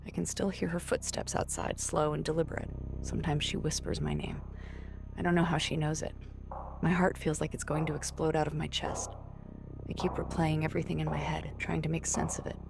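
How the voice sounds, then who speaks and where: A woman playing a frightened young American student, giving a hushed, breathy confession in a horror film monologue. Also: low-pitched, a little fast. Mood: frightened, despairing. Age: young adult. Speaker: narrator of found-footage horror film. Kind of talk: first-person horror monologue, confessional recording. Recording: very noisy found-footage style recording, ambient hiss.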